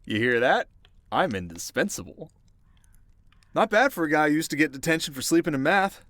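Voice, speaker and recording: A man playing a cocky but nervous and eager teenage delinquent boy in an audio roleplay, talking in a casual, animated, earnest way. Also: fairly high pitch, fairly quick, pitched above the performer's own voice. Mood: proud, candid.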